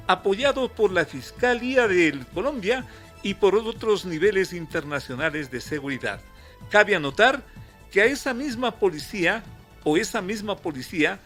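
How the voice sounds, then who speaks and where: A male radio news host, reading a measured, formal commentary and then questioning a guest. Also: sits high, fairly bright tone. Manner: measured, formal delivery with emphatic phrasing.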